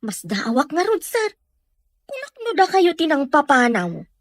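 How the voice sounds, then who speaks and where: A woman as a high-voiced, helpful housemaid. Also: wildly swooping pitch, unhurried, dry recording, clean studio sound, heavily acted. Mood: deferential, candid. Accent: Ilocano. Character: deferential, helpful female household helper. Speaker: radio drama actor. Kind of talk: Filipino radio drama dialogue.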